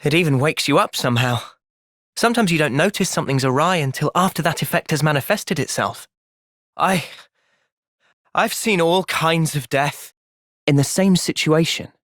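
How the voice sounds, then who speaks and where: A young man acting an earnest, thoughtful soldier hero in a video game cutscene, speaking in a measured, sincere way. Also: on the high side, fairly bright tone, dry recording, clean studio sound, close to an everyday voice. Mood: earnest, reflective.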